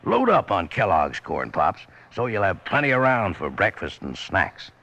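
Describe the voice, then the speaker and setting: A folksy radio pitchman with a low, gravelly voice and very lively intonation. He sells heartily in a vintage advertising style. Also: moderate pace, muffled recording. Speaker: sponsor pitchman.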